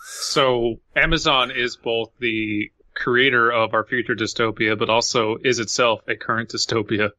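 A man's voice: mid-range voice, steady tone, normal speed, pushed and bright, no room sound. A man co-hosting a podcast in casual, opinionated chat. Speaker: podcast co-host. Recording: dull lo-fi, dry.

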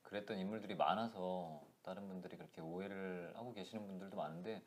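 A man in his thirties, a guest in a casual interview, talking softly and thoughtfully with frequent laughs, on a lapel mic in a small indoor room. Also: low voice. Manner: soft-spoken, laughs often, thoughtful pauses. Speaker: actor guest.

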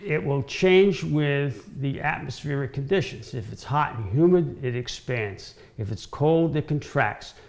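Gravelly-voiced older man explaining. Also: medium pitch, measured pace, few pauses. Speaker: guest woodworker explaining cutting boards. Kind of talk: informal explanatory demonstration. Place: home-style kitchen, indoors.

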